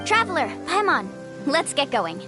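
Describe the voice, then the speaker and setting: A woman's voice: sits high, very animated, moderate pace. A woman playing a confident, stubborn young guide in an anime game, speaking in a firm, assured way. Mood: persuasive. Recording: music playing behind.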